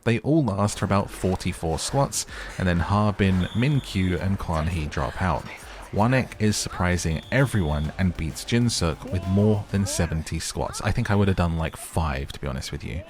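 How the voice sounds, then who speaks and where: Very deep-voiced man recapping a show. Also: expressive, normal speed, a little mellow. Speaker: host of a recap channel. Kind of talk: casual scripted recap commentary of a reality show.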